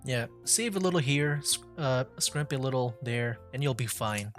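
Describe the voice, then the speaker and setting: A man's voice: medium pitch, expressive, normal speed, soft background music. A man giving casual, rambling commentary as he streams a video game, talking conversationally.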